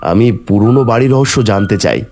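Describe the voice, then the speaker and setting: A man's voice: lowish voice, normal speed, a little mellow, natural voice. A man playing an earnest, brave young hero who tells and acts out a horror cartoon story. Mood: curious, earnest. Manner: dramatic, earnest first-person storytelling. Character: brave, earnest young man seeking his dead lover. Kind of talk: horror cartoon narration and dialogue. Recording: music behind the voice.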